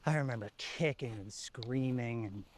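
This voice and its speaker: A man's voice: mid-range voice, moderate pace, slight background noise, close to an everyday voice. A man playing a haunted, reflective lone apocalypse survivor, confiding in a plain-spoken found-footage horror monologue. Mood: reflective, wistful.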